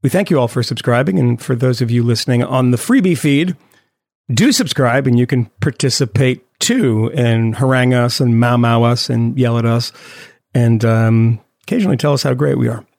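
A man with a gravelly, creaky voice at an everyday pitch hosts a podcast. He talks in loose, joking, rambling banter. His voice carries a rough fry. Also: moderate pace, a little mellow. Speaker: podcast host. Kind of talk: casual chat.

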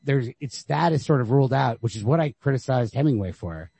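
A man's voice: mid-range voice, animated, fairly quick, vocal fry, warm tone, breathy edge, no echo. A man co-hosting a podcast, casually discussing literature and thinking aloud in circling, hedged phrases. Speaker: podcast co-host.

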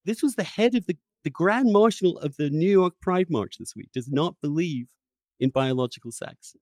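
A man interviewing in an argumentative style, insistent and quick to cut in and challenge the other person. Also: fairly high pitch, animated, darkish tone, no room sound, clean studio sound.

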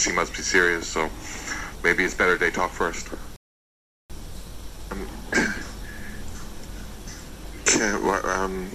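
A man leaving insistent, defensive voice messages to a buyer, calling him "mate" and pressing him to act. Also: deep voice. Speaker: seller in voice messages. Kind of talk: recorded voice messages. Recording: noisy phone voice message.

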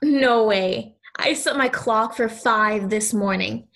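A woman's voice: a little high, slow pace, a bit bright. A woman playing a lonely, stubborn ten-year-old girl in an audio play, speaking in an earnest, insistent, childlike way. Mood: excited, possessive.

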